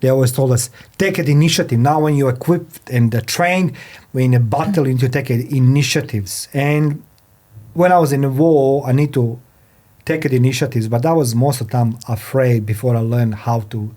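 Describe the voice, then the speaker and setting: A man who is a non-native English speaker hosts a podcast interview, speaking haltingly and often repeating his phrases. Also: medium pitch, dark tone. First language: not English. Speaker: podcast host. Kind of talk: podcast interview, introducing and questioning a guest. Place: studio.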